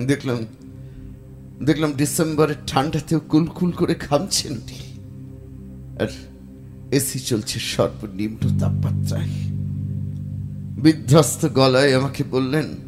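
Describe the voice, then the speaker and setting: A man playing an earnest, wounded professor and writer in an audio drama, speaking in a grave, dramatic, deliberate way. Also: mid-range voice, music in the background, lightly acted. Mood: troubled, grave. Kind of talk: dramatic audio story narration and dialogue.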